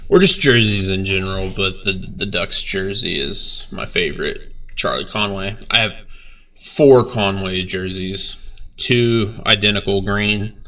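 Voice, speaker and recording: A young man, a bassist, talking casually about his band's video in a laid-back, rambling way with lots of fillers and some swearing. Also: bright, forceful tone, recorded from a distance. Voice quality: low voice. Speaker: bass player reacting to his band's video. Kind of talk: casual reaction vlog.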